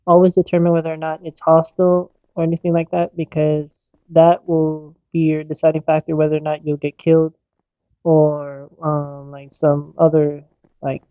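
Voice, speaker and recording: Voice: mid-range voice, no room sound. A person speaking informally and emphatically, with lots of "like", in a casual meeting over online game voice chat. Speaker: player in an online roleplay game's EMS faction. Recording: dry, tinny phone-like voice chat.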